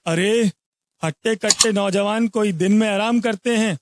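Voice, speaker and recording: A man's voice: sits high, measured pace, noisy background. A man voicing a greedy, heartless, bullying village moneylender in a cartoon moral story, bossy and scornful.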